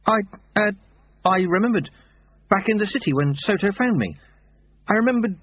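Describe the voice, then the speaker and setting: A man's voice: high-pitched, animated, normal speed, voice pushed up. A man voicing a nervous, bewildered young monk. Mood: shaky. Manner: questioning, shaky and earnest. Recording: tinny phone-like sound.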